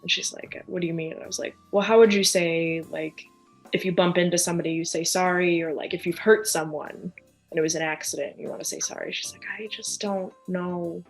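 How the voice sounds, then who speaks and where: Chatty woman, lowish voice, faint music. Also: fairly quick. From United States, near Chicago. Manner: chatty and animated, lots of fillers and quoted asides. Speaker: podcast guest. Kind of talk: casual conversational interview.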